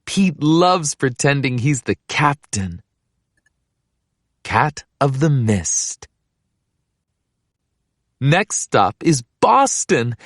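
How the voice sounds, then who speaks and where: A woman reading a children's picture book aloud in an expressive, deliberate way. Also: extremely low pitch, dry recording. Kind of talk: scripted children's story read-aloud.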